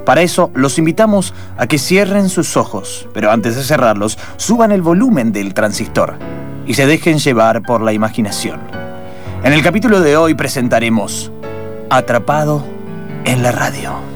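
A man's voice: medium pitch, sing-song, normal speed, soft background music. A man introducing an improvised radio theatre show as its announcer.

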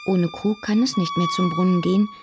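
A woman's voice: lowish voice, a little fast. A woman reciting folk-like verse in a lyrical radio-play style. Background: loud violin music.

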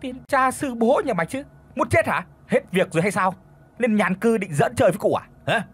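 A furious old village chief barking curses in a high, strained voice that swings wildly up and down, as a man puts him on. His husky tone cracks as he scolds servants. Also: very animated, a little fast, fairly bright tone, exaggerated voice. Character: cranky old male village chief, foul-mouthed.

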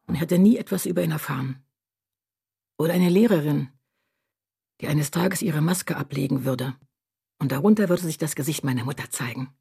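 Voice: medium pitch, creaky, a little mellow, slightly rough. An actor delivering an intimate confessional monologue about a lonely childhood, in a radio drama.

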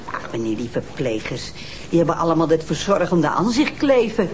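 A woman's voice: sits low, sing-song, normal speed, a little mellow. Scornful woman, noisy soundtrack. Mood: indignant, scornful. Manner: outspoken, scornful. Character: indignant, snobbish sister of a murdered woman. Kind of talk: naturalistic TV crime drama dialogue.

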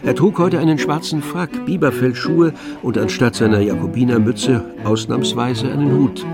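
A man narrating a literary radio drama in a measured, precise storytelling manner. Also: mid-range voice, close to an everyday voice. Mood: composed. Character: composed male literary narrator of a novel. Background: faint music with strings.

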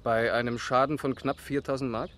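A man's voice: medium pitch, steady tone, normal speed, fairly bright tone, natural voice. A man acting as a police first lieutenant in a 1970s German radio crime drama, casually probing and wry.

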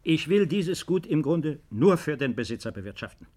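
Principled estate-owning father, middle-aged. Deliberate, earnest, unbending delivery for a 1950s radio drama. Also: on the high side, sing-song, a little mellow. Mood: measured, explaining. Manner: measured, earnest, deliberate phrasing.

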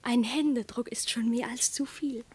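An actress playing a coy, anxious young sweetheart sneaking about at night in a comic radio play. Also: female voice, a little high, fairly even intonation, moderate pace, darkish tone, natural voice. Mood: furtive, coy.

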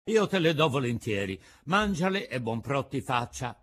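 A man voicing a kind, patient old woodcarver in a storybook reading, speaking slowly and gently with a lecturing tone. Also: mid-range voice, very animated.

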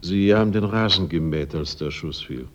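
An actor playing a composed, methodical police inspector, measured and courteous as he questions witnesses in a radio crime drama. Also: deep voice, a bit bright. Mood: probing. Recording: muffled radio drama recording, faint noise.

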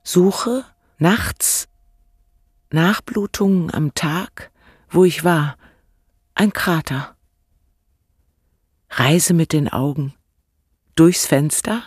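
A woman reciting poetic fragments in a radio play, searching and meditative, with deliberate, halting phrasing. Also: low-pitched, expressive, a little mellow, breathy edge, no room sound, clean studio sound, exaggerated voice.

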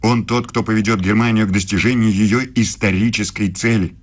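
Radio drama role for a male actor: lofty male German professor and evasive lover, low register, deliberate, pontificating delivery. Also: fairly even intonation, lightly acted. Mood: fervent, lofty. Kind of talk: radio play acting.

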